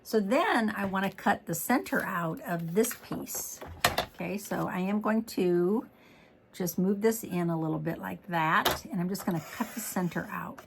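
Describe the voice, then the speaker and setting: A middle-aged woman demonstrating card making in a chatty step-by-step tutorial, slightly hoarse as she is losing her voice. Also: medium pitch, unhurried, background noise. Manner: chatty, walks through each step. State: losing her voice, throat clearing. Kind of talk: casual crafting tutorial.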